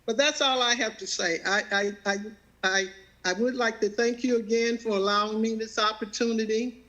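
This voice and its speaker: Older southern lady, halting talk, keeps repeating herself, laughs a lot. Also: fairly high pitch, steady tone, a bit bright, muffled recording. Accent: Southern American. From Southern United States. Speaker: guest. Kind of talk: casual personal testimony.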